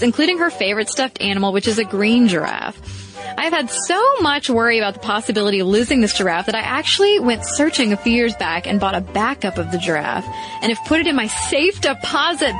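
A lively woman co-host reads listener letters aloud, her voice bouncing high and low with amusement. Her pitch sits a little above average, and faint music plays underneath. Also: a little fast, rough recording. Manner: animated, amused. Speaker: podcast co-host. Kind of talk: reading listener mail aloud.

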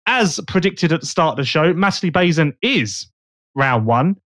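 A man's voice: a little high, animated, normal speed, fairly bright tone, no room sound, studio quality. A man hosting a casual podcast, chatting and joking while he thinks aloud. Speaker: podcast host.

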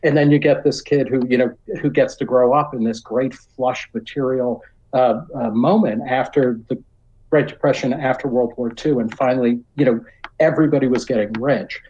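A man's voice: medium pitch, steady tone, moderate pace, darkish tone, slightly rough, dull audio. A middle-aged or older man with an American accent, talking as a podcast guest in a casual, reflective, rambling way full of "you know". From New York area, United States.

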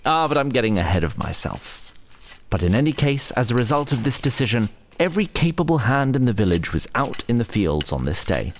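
Pompous, swooping fantasy storyteller. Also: male voice, mid-range voice, sing-song, a little fast, slight background noise. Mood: wry, self-satisfied. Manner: pompous, ornate diction, addresses listeners directly. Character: arch, wry storyteller narrator, impatient with doubting listeners. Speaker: narrator. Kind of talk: theatrical scripted fantasy audio drama narration.

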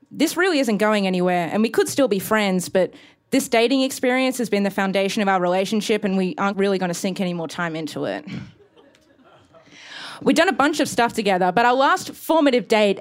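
A woman performing a comedic personal story live, wry and sweary, with deadpan asides. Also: medium pitch. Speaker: comic artist reading own story to an audience.

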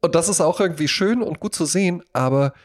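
Ein Mann mit deutschem Akzent plaudert als Podcast-Co-Moderator, nachdenklich und etwas abschweifend. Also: male voice, on the high side, fast. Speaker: podcast co-host. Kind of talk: casual podcast chat.

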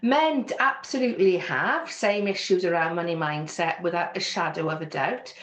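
A woman's voice: lowish voice, normal speed, fairly bright tone. A woman with a Welsh accent, a business coach answering interview questions in an earnest, reflective way. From Wales. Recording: echoey room.

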